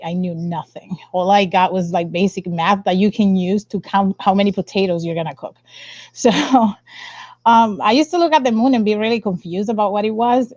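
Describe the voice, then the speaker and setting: A woman's voice: mid-range voice, darkish tone, dry recording. A young woman from the Dominican Republic speaking as an interview guest, telling her own story in a candid, casual way. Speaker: interview guest, science communicator. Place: indoor room, on a video call. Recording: dull lo-fi video call audio.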